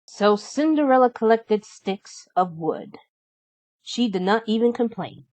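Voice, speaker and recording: A woman narrates a children's storybook. She reads slowly and deliberately, with animated, expressive intonation. The sound is dry, with no room around it. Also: a little high, dry recording. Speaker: storybook reader. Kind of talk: children's read-aloud narration.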